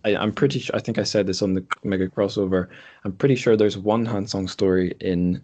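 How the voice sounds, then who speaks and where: A man's voice: lowish voice, steady tone, brisk, a little mellow. A man hosting a podcast in casual, unscripted chat, hesitant and correcting himself as he thinks aloud. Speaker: podcast host. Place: room in a home.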